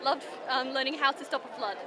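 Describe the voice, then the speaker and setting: A woman's voice: high-pitched, fairly quick. An Australian woman from Perth, a graduating recruit, answering a short interview with some hesitation. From Perth, Western Australia. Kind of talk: casual interview. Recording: crowd noise behind.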